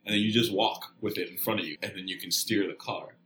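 A man's voice: fairly low pitch, steady tone, fast talker, pushed and bright, echoey room. A middle-aged man from Kenya, a podcast guest telling a casual rambling story with frequent laughs. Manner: rambling, laughs often between phrases.

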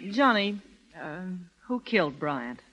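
A streetwise nightclub woman from an old radio crime play. Her voice is bright and clear-cut, with wry, slangy lines on a vintage 1930s broadcast recording. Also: lowish voice, very animated, slow, heavily acted, poor audio. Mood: wistful, fond. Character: fond, worldly, tough-talking female nightclub owner.

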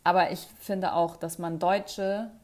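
A woman chatting casually with a friend, talkative and quick to laugh, with lots of fillers. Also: fairly low pitch, fairly even intonation, darkish tone. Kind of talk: casual chat.

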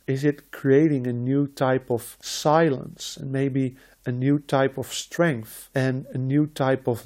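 A man from the Netherlands, a shaman hosting a podcast, speaking in a slow, measured, reflective way with repeated phrases. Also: medium pitch, mellow. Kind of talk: slow reflective spiritual talk.

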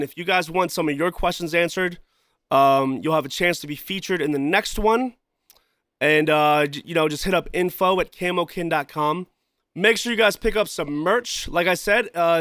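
Male solo podcast host, slightly high voice, loose rambling delivery, keeps coughing and clearing his throat, dry close mic. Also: fairly bright tone, no echo. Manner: loose, rambling, repeats himself, frequent "you know" and "man". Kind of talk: casual solo podcast talk.

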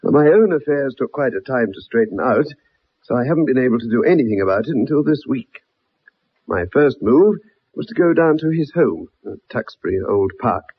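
On a muffled vintage radio recording, a former soldier. His voice is mid-pitched and a little rough, earnest and polite yet insistent, like a loyal friend who is troubled. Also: animated, brisk. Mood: troubled, recounting. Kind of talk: 1960s radio drama acting, first-person narration.

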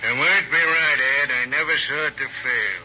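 A man's voice: on the high side, normal speed, pushed and bright. An actor playing a jaded, skeptical newspaperman with wry slangy talk in 1930s radio acting. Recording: dull old radio recording.

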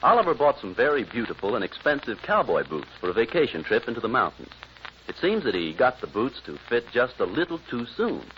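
A man's voice: mid-range voice, sing-song, brisk, background noise. An American man narrating a scripted recap as an old-time radio announcer. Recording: muffled old radio recording, music behind.

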